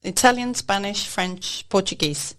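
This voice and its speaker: A woman from Sweden, chatting as a jazz singer in a relaxed interview. She laughs often and trails off into fillers. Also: mid-range voice, unhurried. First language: Swedish. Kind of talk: casual interview chat.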